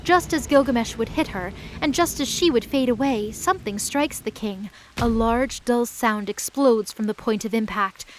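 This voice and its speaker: A woman narrating an audio drama in a measured storytelling voice. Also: on the high side, rough recording. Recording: narration over loud music.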